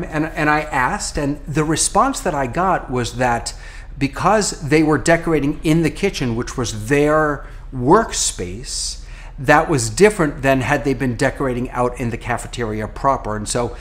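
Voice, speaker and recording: A middle-aged American man, a rabbi, hosting a relaxed podcast conversation over a video call from his home office, talking in an animated way with frequent restarts. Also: medium pitch, moderate pace. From United States.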